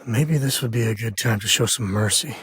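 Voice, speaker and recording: A space cowboy ranger dad for a game, really husky voice, folksy and easygoing, earnest, dry studio sound. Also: male voice, lowish voice, brisk, a bit bright, no room sound. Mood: thoughtful, easygoing. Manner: folksy drawl phrasing, easygoing, sincere. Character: rugged space-frontier ranger, devoted dad, wry and earnest. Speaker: video game voice actor. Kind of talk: acted game companion dialogue.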